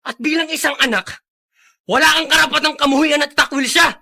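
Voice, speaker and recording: A man's voice: very high voice, brisk, creaky, sharp tone, full character voice. An actor playing an indignant, principled young man in a Filipino radio drama, reproaching and moralizing with emphasis. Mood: reproachful. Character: indignant, principled young man defending his friend's father.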